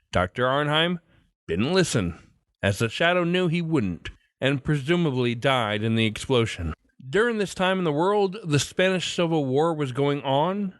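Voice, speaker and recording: A man's voice: medium pitch, no room sound, clean studio sound. A man hosting a podcast with scripted narration. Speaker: podcast host.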